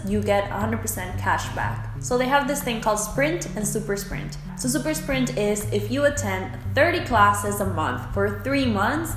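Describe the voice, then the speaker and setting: A young woman from the who speaks English, chatting in a giggly, casual vlog style from her apartment. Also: on the high side. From Dominican Republic. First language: Spanish. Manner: chatty, giggly, quick asides. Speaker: vlogger. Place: apartment room. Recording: echoey room with background music.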